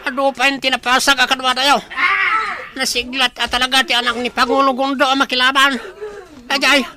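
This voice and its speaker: A very high, fretful warrior sidekick. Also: male voice, flat delivery, a little fast, crowd noise. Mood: anxious, submissive. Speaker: radio drama actor. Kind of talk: Philippine radio drama acting.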